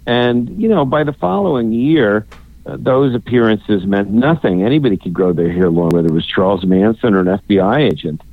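A man's voice: fairly low pitch, expressive, fairly quick. A man, an author being interviewed over a cell phone, talking in long, rambling thoughts with many fillers and restarts. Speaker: guest author. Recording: tinny phone line, dry.

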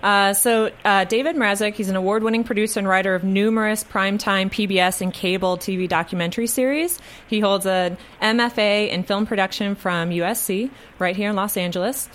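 A woman's voice: mid-range voice, steady tone, brisk, few pauses, a bit bright. A woman hosting a radio talk show, introducing a guest with many fillers. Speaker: host.

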